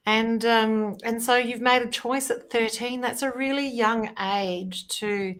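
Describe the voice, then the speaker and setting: A woman's voice: a little high, steady tone, takes it slowly, a bit bright. A woman hosting an interview, asking questions in an encouraging, inquisitive way. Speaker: interview host.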